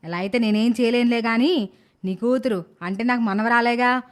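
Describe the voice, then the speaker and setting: A woman playing a blunt, nagging old mother-in-law in an animated moral story. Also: fairly high pitch, normal speed, lightly acted. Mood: grudging.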